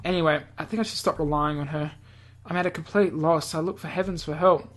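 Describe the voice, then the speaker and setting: A woman's voice: low-pitched, fairly even intonation, fast talker. A woman reading a visual novel aloud in an amateur, offhand narrating style, sometimes stumbling over words and re-reading them. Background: game background music.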